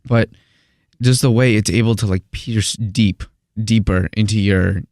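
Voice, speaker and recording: A man's voice: on the low side, steady tone, measured pace, darkish tone, no room sound, studio quality. A man guesting on a radio show, rambling and searching for words with many "like"s as he talks about film music. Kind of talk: casual radio conversation.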